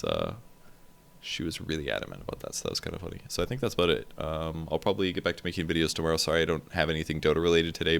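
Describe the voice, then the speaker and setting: A young man with a very low, deep voice talks fast and loosely into a desk mic. He sounds worn out and rambles through his gaming vlog, full of ums and restarts. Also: gravelly. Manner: rambling, relaxed, filler-heavy. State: tired. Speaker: gaming video creator. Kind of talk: casual vlog commentary.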